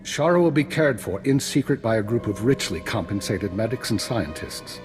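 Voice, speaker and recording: Actor as shrewd, unflappable retired imperial spymaster, music behind. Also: male voice, on the low side, expressive, natural voice. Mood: calm, unflinching. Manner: formal, measured, dryly polite. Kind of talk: scripted video game acting.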